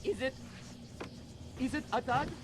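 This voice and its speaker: A man's voice: very high voice, measured pace. An actor playing a casual, sardonic male guard in a video game, jumpy under pressure. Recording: clear ambient noise behind.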